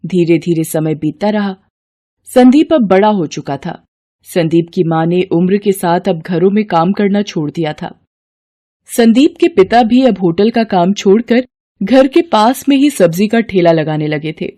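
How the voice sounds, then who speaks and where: A woman's voice: medium pitch, animated, measured pace, warm tone. A woman storyteller giving scripted narration of a moral tale.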